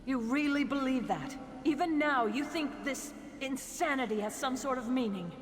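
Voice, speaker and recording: A woman's voice: sits high, normal speed, lightly acted. A woman voicing a bitter, ruthless female assassin in dramatic fantasy game dialogue. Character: cold, betrayed female assassin turned ruthless. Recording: long hall reverb, clear noise.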